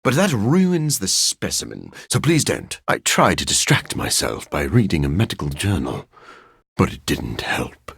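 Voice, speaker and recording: An actor playing a sophisticated, bookish vampire scientist in a video game, speaking in an articulate, formal way with dry wit and a raspy edge. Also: male voice, deep voice, huge pitch swings, measured pace, a bit bright, no echo, exaggerated voice. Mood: uneasy. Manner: articulate, formal, dryly witty. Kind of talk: video game character voice lines.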